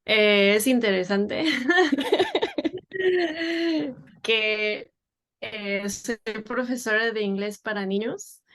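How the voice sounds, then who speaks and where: A woman from Peru speaks slowly in an easygoing interview, her voice sitting in a middle pitch. She often pauses, restarts her sentences and fills gaps with "em" and "eh" as she thinks aloud. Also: a bit bright. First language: Spanish. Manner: hesitant, many fillers and restarts. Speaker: guest, English teacher for children. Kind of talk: casual interview conversation.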